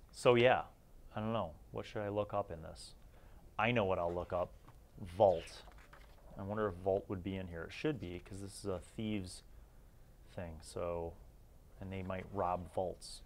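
Man, lowish voice, casual reviewer, informal, enthusiastic, thinking out loud. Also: slight background noise. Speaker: host of a tabletop gaming review channel. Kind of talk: casual rambling magazine review.

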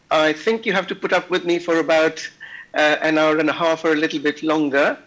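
Slightly hoarse man lecturing over video call. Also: on the high side, a bit bright, no echo, poor audio. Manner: measured, many fillers. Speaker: invited lecturer. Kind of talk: remote conference lecture. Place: indoor office.

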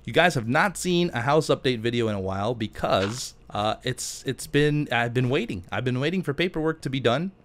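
A man's voice: medium pitch, expressive, normal speed, hardly any pauses, slight background noise. Rambling man chatting over gameplay. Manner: rambling, thinking aloud. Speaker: gaming YouTuber. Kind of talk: casual gameplay commentary with question-and-answer chat.

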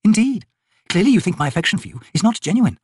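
Grand, flirtatious fantasy sorceress as a male narrator plays her, imperious and lilting, high, very animated delivery. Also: fast talker, husky, no echo, studio quality, full character voice. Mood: challenging. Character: haughty, teasing noble sorceress, female, flirtatious and grand.

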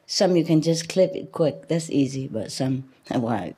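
A chatty middle-aged woman speaking in a lowish, mellow voice to a group gathered around her. She laughs often and trips over her own sentences, close on a desk mic. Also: lively intonation, measured pace, slightly rough. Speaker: spiritual teacher. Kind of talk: informal talk to a seated group. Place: room with paper screens, audience in a hall. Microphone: desk mic on a stand.

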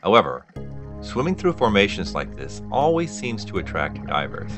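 A man reading scripted nature documentary narration as a diver, in an explanatory manner with measured sentences. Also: fairly low pitch, wildly swooping pitch, music in the background.

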